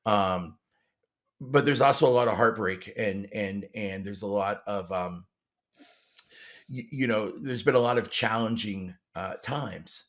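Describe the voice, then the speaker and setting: A man's voice: on the low side, unhurried, a bit bright. A man hosting a conversational podcast interview, with hesitant, rambling phrasing and repeated words.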